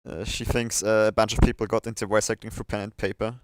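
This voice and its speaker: A man's voice: fairly low pitch, little pitch movement, fast, dry recording. A man hosting a casual livestream chat, thinking aloud and hesitating as he goes. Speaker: livestream host.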